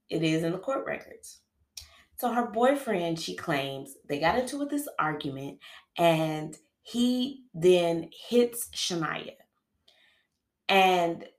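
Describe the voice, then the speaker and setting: Podcast host with a cold, a woman with a lowish voice, casual true-crime storytelling in an echoey room. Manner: conversational, with frequent fillers and restarts. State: has a cold, sniffling. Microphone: podcast microphone. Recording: roomy echo.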